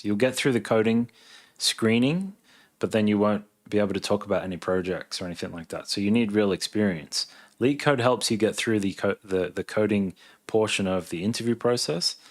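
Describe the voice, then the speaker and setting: An Australian man in his thirties with a fairly low-pitched voice. He talks casually, as a livestreamer does, reading out questions and thinking aloud as he answers. His manner is laid-back and conversational. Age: 30s. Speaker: live streamer, software engineer.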